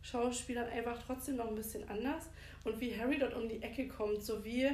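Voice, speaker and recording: A woman's voice: fairly high pitch, fairly even intonation, fairly quick, a little mellow. A woman co-hosting a podcast in casual conversation, recorded in an echoey room. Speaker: podcast co-host. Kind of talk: casual chat, discussing a book and film. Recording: echoey room with clear background noise.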